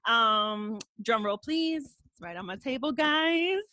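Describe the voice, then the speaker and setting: A woman's voice: on the high side, lively intonation, slow, sharp tone, no room sound. A woman hosting a podcast, laid-back, joking and self-mocking in casual chat. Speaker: podcast host.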